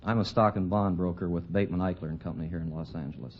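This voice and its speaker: A man's voice: sits low, steady tone, rapid-fire. A man answering briefly as a contestant on a quiz show. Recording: dull, hissy vintage radio recording.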